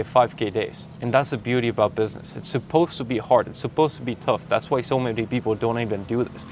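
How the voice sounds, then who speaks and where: A man's voice: mid-range voice, a little hiss or hum. A young man with a Mexican Spanish accent and Spanish as his first language, giving a blunt, motivational talk to his camera while traveling. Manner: blunt, pep-talk delivery, calling the listener man and bro. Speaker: sales coach. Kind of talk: motivational vlog monologue. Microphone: handheld camera filming himself.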